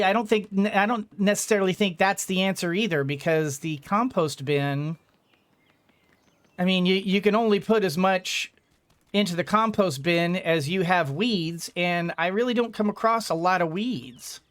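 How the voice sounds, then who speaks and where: A man giving casual let's play commentary, rambling and thinking out loud as he goes. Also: high voice, clear, resonant tone, no room sound. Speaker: gaming video host.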